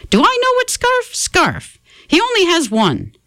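A shrill, sharp-tongued old grandmother, fussing and scolding in a storybook reading, put on by a woman reader. Her voice leaps high and swoops about as she snaps back at everyone. The sound is close and dry. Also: wildly swooping pitch, unhurried, no room sound, exaggerated voice. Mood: exasperated. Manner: exasperated, high-pitched retorts.

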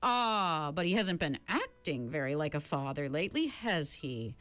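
A woman reading an audiobook, voicing a sinister, bland man who mocks children and chants numbers slowly. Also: low-pitched, sing-song, a bit bright, no echo. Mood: mocking. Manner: slow, drawn-out, chanting.

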